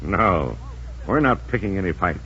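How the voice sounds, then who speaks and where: An actor playing a sardonic, scheming gang boss with clipped tough talk, in 1940s radio drama style. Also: male voice, deep voice, animated, normal speed, bright, forceful tone. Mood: sardonic, plotting. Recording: muffled old radio recording.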